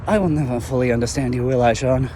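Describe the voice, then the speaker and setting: Weary, condescending villain, played by a man, pitched down, dry and measured, audio drama, slight background noise. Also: mellow, close to an everyday voice. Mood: resigned. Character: haughty, weary male villain, cynical and coldly formal.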